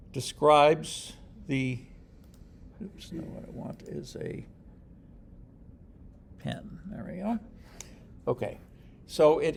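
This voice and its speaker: A man teaching a programming class in an informal lecture, halting and restarting often, with a dry sense of humor. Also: fairly high pitch, gravelly, a little mellow, husky. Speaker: programming instructor.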